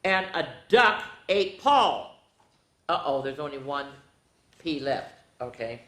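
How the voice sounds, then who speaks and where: A woman hosting a children's story time, reading a storybook aloud in an animated, playful way with dramatic asides to the young listeners. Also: low voice, slow. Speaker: children's storyteller, show host. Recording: roomy, echoing indoor sound.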